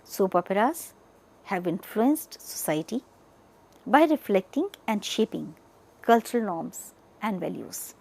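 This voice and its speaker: A woman giving an educational lecture, partly reading from notes, speaking deliberately in short, emphatic chunks. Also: mid-range voice, sing-song, gravelly, darkish tone, poor audio.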